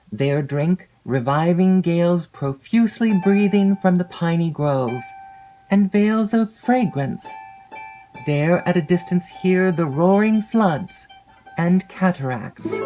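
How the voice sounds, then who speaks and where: A woman reading a classic literary novel as an audiobook narrator, measured and expressive, lingering over descriptive phrases. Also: lowish voice, faint music behind, low-quality recording.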